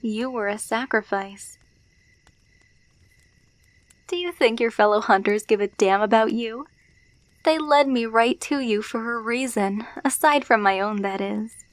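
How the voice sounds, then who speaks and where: Slow, dominant werewolf woman, acted by a woman. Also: on the high side, dry recording, lightly acted. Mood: taunting, menacing. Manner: taunting, condescending, cooing pet names, slow and deliberate. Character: dominant, possessive female alpha werewolf, teasing and menacing. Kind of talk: ASMR roleplay monologue.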